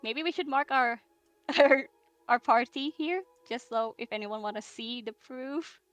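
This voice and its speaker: A woman's voice: fairly high pitch, measured pace, fairly bright tone, no echo, soft background music. A woman playing a tabletop game, chatting casually with the group on an online call.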